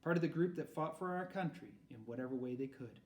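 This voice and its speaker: A man's voice: on the high side, quick pace, a little mellow, natural voice. A man voicing a solemn, protective storybook father, grave and patient in his explanations. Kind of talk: read-aloud storybook character. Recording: roomy echo, far from mic.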